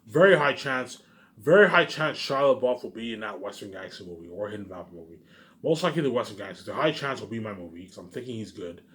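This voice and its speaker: A man's voice: lowish voice, a bit bright. A man talking casually in a rambling, repetitive monologue, musing aloud like a vlogger. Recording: noisy amateur recording.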